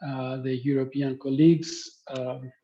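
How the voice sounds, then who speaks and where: A man's voice: mid-range voice, level tone, a little slow. A man from Greece giving a webinar lecture over a video call, speaking with many hesitant fillers. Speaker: guest speaker, professor. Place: remote spot in the countryside. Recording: dull lo-fi call audio.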